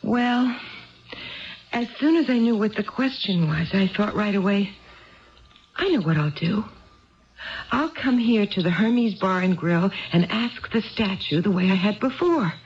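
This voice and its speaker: A woman's voice: medium pitch, animated, unhurried, darkish tone, natural voice. Woman acting tipsy unhappy wife rambling earnestly in an old radio play, muffled recording. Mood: hurt, earnest. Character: troubled, tipsy wife confiding in a bartender. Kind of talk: 1970s radio drama acting. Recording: dull 1970s radio broadcast recording.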